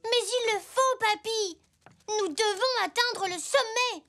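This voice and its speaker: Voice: very high voice, takes it slowly, sharp tone, exaggerated voice. A performer voicing a cheerful, adventurous little zebra boy in a preschool cartoon, playful and eager, with music behind. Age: young child figure.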